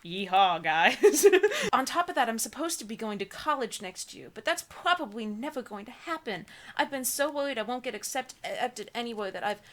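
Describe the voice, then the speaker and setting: A woman's voice: a little high, big pitch swings, moderate pace, few pauses, fairly bright tone. A young woman in a casual read-aloud, giggly and often breaking off to add mocking comments. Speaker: co-host reading books aloud.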